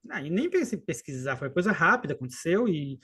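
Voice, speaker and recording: A man in his late thirties from southern Brazil with a faint gaúcho accent, telling a personal story in an unhurried, halting way, with frequent restarts and trailing asides. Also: fairly high pitch, sing-song, fairly bright tone, slightly rough. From Rio Grande do Sul, southern Brazil. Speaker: podcast guest and co-host. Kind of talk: casual storytelling in a podcast chat.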